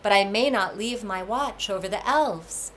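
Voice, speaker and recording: A woman's voice: mid-range voice, a little slow, fairly bright tone, a little hiss or hum, lightly acted, poor audio. A woman reading the part of a lovesick, stubborn young male god in earnest, declamatory storybook speech. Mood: longing.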